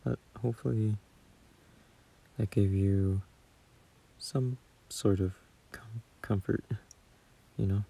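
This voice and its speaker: A man speaking softly and hesitantly into a close phone mic as a gentle, caring boyfriend in a soothing ASMR roleplay. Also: on the low side, fairly even intonation, slow, vocal fry, pauses often, husky. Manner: soft, hesitant, soothing, at times near whisper.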